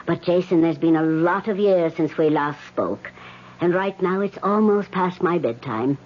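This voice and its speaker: A dull old radio recording carries a gracious older woman. Her voice is fairly low, and she speaks in a composed, genteel way. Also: unhurried. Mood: polite, composed. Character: gracious older woman, a long-lost friend. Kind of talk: radio drama acting. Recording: muffled old radio broadcast.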